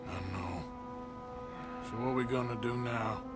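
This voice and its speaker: A man's voice: medium pitch, a little slow, loud music behind the voice, close to an everyday voice. An actor playing a rugged, world-weary American outlaw gunman in a western video game, with a dry, blunt delivery. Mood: dry, resigned. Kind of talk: dramatic video game acting.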